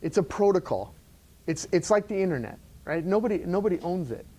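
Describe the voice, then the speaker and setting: A man's voice: sits high, animated, brisk, warm tone. Chatty middle-aged man at a live event. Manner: loose and conversational, many fillers and restarts. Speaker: entrepreneur giving a talk. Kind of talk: live talk to an audience. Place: event room before an audience.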